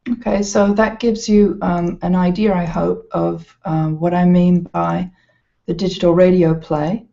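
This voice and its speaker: A woman's voice: on the low side, level tone, gravelly, mellow. A woman giving an informal, hesitant walkthrough as an educational presenter. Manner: hesitant, halting delivery. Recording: slightly dull, lo-fi sound.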